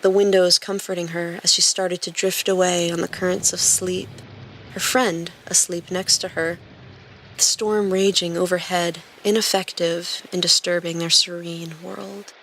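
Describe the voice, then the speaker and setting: A woman's voice: on the low side, level tone, unhurried, fairly bright tone, slight background noise, poor audio. A woman reading scripted story narration as a podcast narrator and host.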